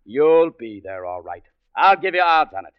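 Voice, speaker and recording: Needling, scornful American boss, highish voice, domineering, 1940s radio drama, dull vintage recording. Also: male voice, very animated, moderate pace, clean tone. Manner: badgering, scornful.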